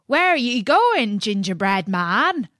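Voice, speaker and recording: A woman voicing gruff, rustic well diggers who challenge the runaway. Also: mid-range voice, sing-song, drawn-out words, sharp tone, dry recording, clean studio sound, full character voice. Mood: challenging.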